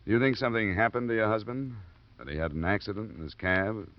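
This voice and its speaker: An actor playing a laconic, steady investigator in a 1950s radio detective drama, with a dry, matter-of-fact delivery. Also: male voice, fairly low pitch, fast talker, lightly acted. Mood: calm, questioning. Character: laconic, steady male insurance investigator narrating his case. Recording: muffled old radio broadcast recording.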